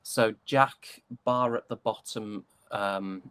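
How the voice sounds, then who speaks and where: A man's voice: lowish voice, slow, fairly bright tone, clear, resonant tone, no room sound. A British man chatting casually as an interview guest, an actor with a joking, self-deprecating way of talking. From United Kingdom.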